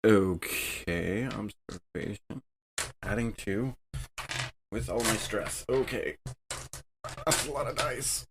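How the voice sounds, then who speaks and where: A man's voice: fairly low pitch, slow pace, long pauses, heavy background noise. A man playing a tabletop roleplaying game, casually describing his character's actions and rolls.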